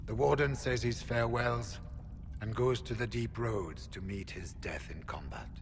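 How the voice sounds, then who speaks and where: A man voicing a grave, courteous senior warrior in a video game, measured, solemn and formal. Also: mid-range voice, close to an everyday voice. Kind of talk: dramatic video game acting. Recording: long reverb, faint ambient noise.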